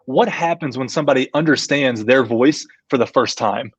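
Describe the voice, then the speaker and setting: A man's voice: medium pitch, normal speed, slightly rough, dry recording. A man hosting a business live-stream discussion as company CEO, asking questions and walking through a screen share.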